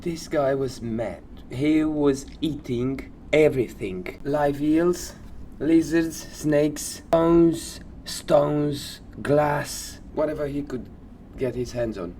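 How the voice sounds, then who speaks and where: Male podcast co-host, unhurried and halting, medium pitch, informal talk, faint background noise. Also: warm tone. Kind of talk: casual chat, sharing odd facts.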